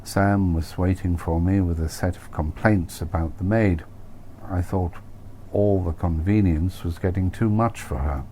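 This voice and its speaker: A man's voice: deep voice, measured pace, warm tone, slight background noise. A man reading his own first-person novel aloud as an audiobook, in a wry, dry manner.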